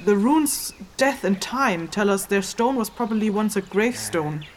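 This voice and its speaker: A woman playing an assured, stern ritual caster in a fantasy audio drama, measured and teacherly. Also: mid-range voice, expressive, a little hiss or hum, natural voice. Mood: instructive, calm.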